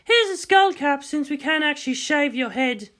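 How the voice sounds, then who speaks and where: A narrator voicing a brisk, confident young woman artist with a breezy, teasing, matter-of-fact manner. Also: high-pitched, lively intonation, a bit bright, voice pushed up. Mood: approving.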